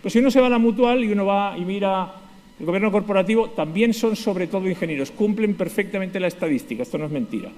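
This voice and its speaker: Fast-talking Spanish man in an echoey hall. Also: high-pitched, expressive, darkish tone. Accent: Spanish from Spain. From Spain, Basque Country. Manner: ironic, self-deprecating humor. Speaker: conference speaker. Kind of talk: conference keynote talk. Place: large conference hall.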